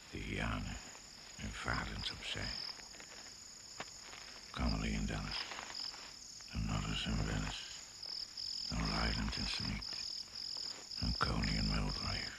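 An extremely deep, gravelly male narrator speaks slowly and solemnly in a radio play. The words fall like a grim chant over very loud background noise. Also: exaggerated voice. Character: grave, brooding male narrator of a jungle trek. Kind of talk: slow, incantatory radio drama narration. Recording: very noisy, chirring old radio recording.

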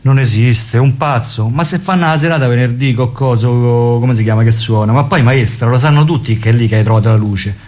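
A man's voice: mid-range voice, fairly quick, few pauses, darkish tone. A man coaxing and pleading for a story in a chatty way.

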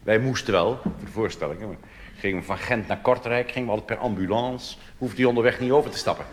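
A man's voice: on the low side, fast talker, background noise. A Dutch male cabaret performer delivering a live stage monologue with dry, conspiratorial asides and chuckles to the audience. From Netherlands.

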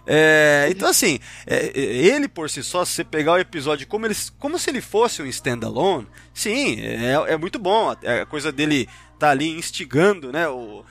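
A man's voice: on the high side, sing-song, normal speed, few pauses, fairly bright tone. A Brazilian man hosting a casual discussion of a sci-fi series, explaining insistently and often checking that others agree. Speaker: podcast host leading the discussion.

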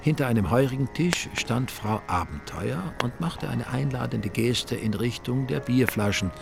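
A man's voice: medium pitch, lively intonation, normal speed, vocal fry, over music. A man narrating a radio drama in a dry, ironic, literary style. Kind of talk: scripted, wry literary narration.

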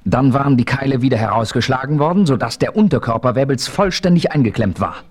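A man playing the calm, authoritative hero who narrates in the first person, in a radio play. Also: medium pitch, fast, close to an everyday voice.

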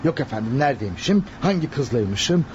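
An actor playing a meek young fiancé in a radio drama, hesitant and stammering, secretly fed up. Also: male voice, mid-range voice, sing-song, moderate pace, a little mellow, lo-fi sound, natural voice. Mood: weary, grumbling. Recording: radio play with clear background noise.

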